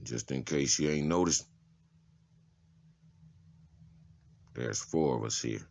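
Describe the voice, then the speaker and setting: Gruff male convict for comic drama, acted by a man: extremely low voice, blunt, sardonic, menacing. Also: normal speed, darkish tone, full character voice. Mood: gruff, matter-of-fact. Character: blunt, intimidating male convicted murderer in prison.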